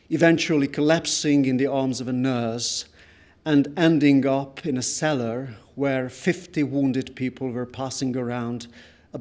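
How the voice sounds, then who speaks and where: A man giving a dramatic literary reading of a first-person account, solemn and deliberate, with weighty pauses. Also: mid-range voice, a little mellow. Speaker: reader at a book launch.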